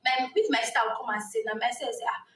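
Nigerian woman, yoruba native, chatty and laughing a lot, kinda high voice, far from the mic in an echoey room. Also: rapid-fire. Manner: animated, laughs often, many fillers. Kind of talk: casual chat. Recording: roomy sound, distant mic.

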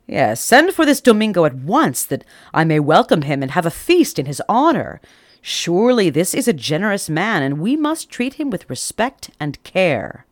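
A woman's voice: lowish voice, very animated, moderate pace, no echo, studio quality. A woman voicing a stern but kind king in a storybook reading. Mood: commanding.

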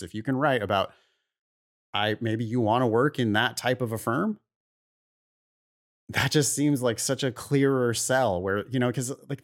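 A man co-hosting an advice podcast, talking casually and giving blunt, opinionated takes. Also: medium pitch. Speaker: podcast host.

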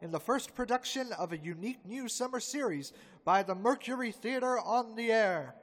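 A man's voice: high voice, animated, normal speed, fairly bright tone, in a large hall. A man playing a 1930s radio announcer presenting the program in a formal cadence.

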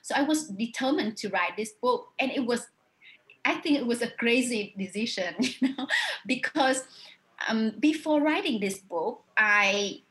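A woman's voice: a little high, expressive, unhurried, fairly bright tone. A woman from Vietnam with a Vietnamese accent, speaking thoughtfully in an interview about her writing, often restarting and adding "you know". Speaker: author. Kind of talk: reflective interview answers.

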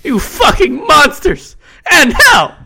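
A man voicing a terrified young man whose voice cracks and goes shrill in panic, within a horror story he tells. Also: huge pitch swings, slow, gravelly, pushed and bright, full character voice. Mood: screaming.

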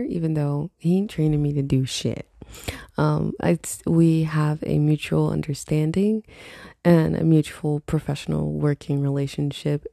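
A woman's voice: warm tone, no room sound, clean studio sound. A young woman hosting her casual solo podcast, sounding congested and stuffy, rambling and laughing at herself as she talks. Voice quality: low husky morning voice. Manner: rambling, laughs often, self-mocking. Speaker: podcast host.

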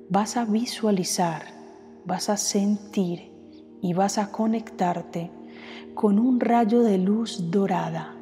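A woman leading a guided meditation, speaking gently and unhurriedly with pauses, as a soothing guide. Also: mid-range voice. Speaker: meditation guide, podcast host. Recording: long reverb over music bed. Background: background music.

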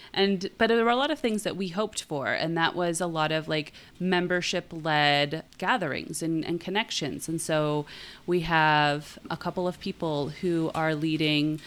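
Smooth, low-voiced woman podcast host. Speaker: podcast co-host, writing teacher. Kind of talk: casual conversational podcast talk.

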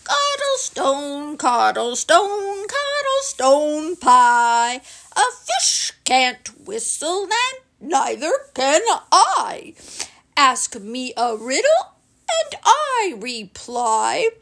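A woman voicing a cheerful, simple-minded male teddy bear who talks in a bright, friendly way and sings slow ditties. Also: high voice, very animated, heavily acted. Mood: singsong.

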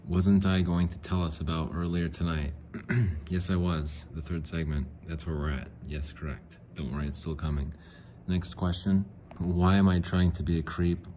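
A man hosting a casual solo podcast, rambling and self-mocking, clearing his throat often and answering his own questions. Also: low voice, steady tone, unhurried, a little mellow, slight background noise. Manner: frequent throat clearing and restarts. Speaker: podcast host.

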